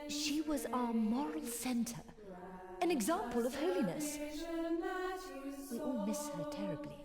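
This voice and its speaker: An actress voicing a devout, single-minded choir nun in a video game, earnest and prim in manner. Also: female voice, high-pitched, lively intonation, a little slow. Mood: earnest, mournful. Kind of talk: voiced video game dialogue. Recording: long hall reverb with loud music.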